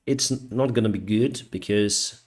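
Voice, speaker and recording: A man from Ukraine with a Ukrainian accent, hosting a casual live chat and answering viewers in a hesitant, halting way. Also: on the low side, a little mellow. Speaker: live stream host, airline pilot.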